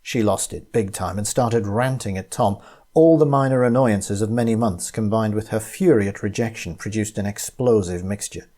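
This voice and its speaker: A man reading a short story aloud as its narrator, in an expressive, dramatic way. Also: fairly low pitch, moderate pace.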